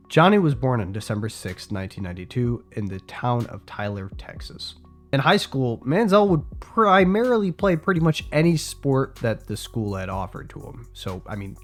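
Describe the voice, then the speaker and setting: Stuffed-up young guy doing a football history vid, lowish voice, chatty asides, faint music under it. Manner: casual, chatty asides to viewers. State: congested. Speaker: sports video creator. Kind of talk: scripted sports narration.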